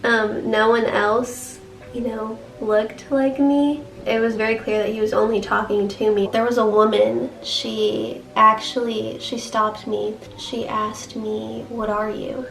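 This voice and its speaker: A woman's voice: on the high side, flat delivery, slow pace, vocal fry, fairly bright tone. A sixteen-year-old girl chattily telling a personal story with many fillers. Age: teens. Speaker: interviewee. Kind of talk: personal testimony. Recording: distant, echoey with faint music.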